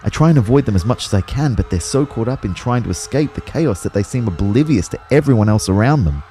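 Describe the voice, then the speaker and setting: Man playing a dazed narrator, dark mellow voice. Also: on the low side, lively intonation, fast, faint music behind, lightly acted. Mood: tense, reflective. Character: dazed young man recounting a disaster. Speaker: first-person narrator. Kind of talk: dramatic scripted narration.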